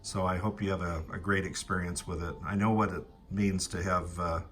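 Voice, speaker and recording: A middle-aged American man with a very deep, bright and forceful voice. He talks casually over ham radio as an operator, in a halting way with many pauses. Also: little pitch movement, a little hiss or hum.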